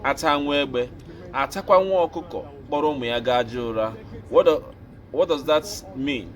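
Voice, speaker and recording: A Nigerian man hosting an opinion show, speaking slowly and deliberately, like a preacher. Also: medium pitch. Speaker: commentary host. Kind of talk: opinion commentary.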